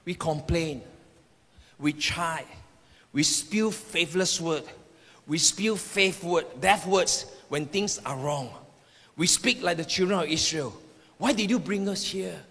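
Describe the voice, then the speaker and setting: A male preacher with a high-pitched, forceful voice delivers a sermon in a hall with long reverb. He speaks in emphatic declarations, often repeating phrases for weight. His tone is bright and sharp as he addresses the congregation. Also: slow pace, breathy edge. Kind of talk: sermon with personal prophetic words to the congregation.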